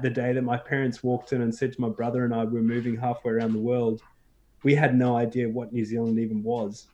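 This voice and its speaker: Relaxed, rambling man with fillers. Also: medium pitch, muffled recording. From South Africa. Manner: relaxed and hesitant, many fillers and restarts. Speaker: podcast guest. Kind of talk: casual interview chat.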